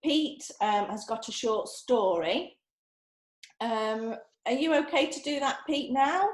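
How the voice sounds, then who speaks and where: A woman's voice: on the high side, animated, takes it slowly. A woman casually hosting a writing group session, hesitant, with frequent ums. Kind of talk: casual presenting. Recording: echoey room.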